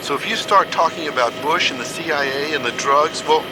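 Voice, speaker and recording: A man's voice: on the high side, fairly quick, music in the background, close to an everyday voice, rough recording. A man playing a slick, exasperated government negotiator in a satirical radio play, cajoling and businesslike. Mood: placating. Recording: radio play with loud aircraft noise.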